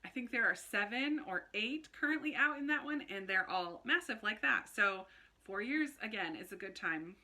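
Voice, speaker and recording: A woman's voice: mid-range voice, expressive, normal speed, sharp tone. A woman hosting a casual book vlog, chatting expressively to her viewers and often correcting herself as she goes. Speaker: book vlogger, channel host.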